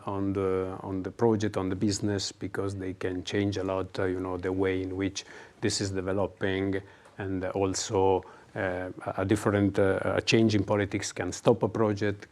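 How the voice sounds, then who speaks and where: A man on a business panel, his voice sitting a little low. He speaks haltingly, with frequent fillers and restarted phrases. He keeps the tone of a webinar discussion. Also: darkish tone.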